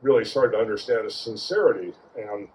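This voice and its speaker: A man giving an informal, anecdotal tribute at a memorial, speaking haltingly and repeating words. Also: fairly low pitch, darkish tone. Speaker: memorial speaker, friend of the deceased. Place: outdoor garden gathering. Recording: dull lo-fi audio with clear noise.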